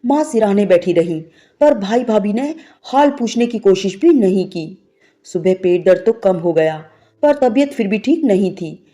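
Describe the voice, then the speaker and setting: A woman's voice: medium pitch, expressive, measured pace, warm tone. A woman narrating a scripted, sentimental moral story as a storyteller. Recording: music bed behind the voice. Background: background music.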